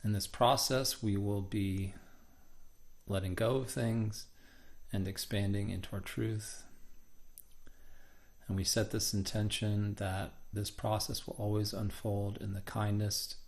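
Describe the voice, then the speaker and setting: A man leads a guided meditation in a gentle, unhurried way. His voice sits low with a lot of vocal fry and stays very flat in melody. He breaks his sentences into short phrases with space between them.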